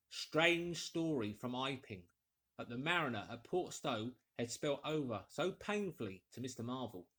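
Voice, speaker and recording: Male audiobook narrator: expressive, wide-ranging intonation, husky edge, dramatic scripted storytelling. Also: on the high side.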